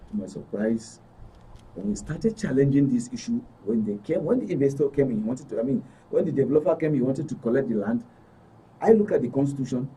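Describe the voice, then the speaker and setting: A man's voice: mid-range voice, animated, brisk, dark tone, slightly rough. A man with a Nigerian accent giving a conversational personal account, repeating and rephrasing for emphasis. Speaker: studio guest. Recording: dull distant mic, faint background noise.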